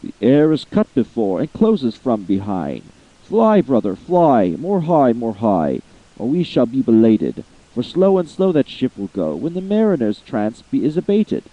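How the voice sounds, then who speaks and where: A man voicing a soft-spoken male spirit of the air who answers in a gentle, measured way. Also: mid-range voice, big pitch swings, highs cut off. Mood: urgent.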